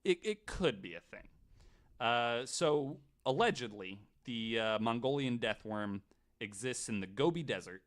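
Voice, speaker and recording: A man's voice: medium pitch, animated, a little slow. A man in casual podcast conversation, retelling researched stories with a joking, sarcastic, sometimes sweary manner. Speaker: podcast storyteller on a panel.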